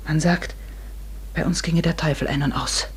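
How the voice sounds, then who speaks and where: Radio play: secretive, guilt-ridden farmer's wife, middle-aged, lower register, husky, hushed. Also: female voice, steady tone, moderate pace, full character voice. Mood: uneasy, foreboding. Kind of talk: German radio drama acting.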